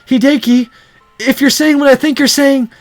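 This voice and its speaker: A man's voice: extremely high pitch, steady tone, normal speed, soft background music, voice pushed up. A man voicing a desperate, protective young woman held captive in a visual novel, pleading urgently.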